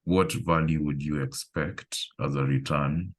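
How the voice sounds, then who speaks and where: Very deep-voiced man teaching code. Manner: relaxed, thinking aloud with pauses and fillers. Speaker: coding instructor. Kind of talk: live online coding lesson.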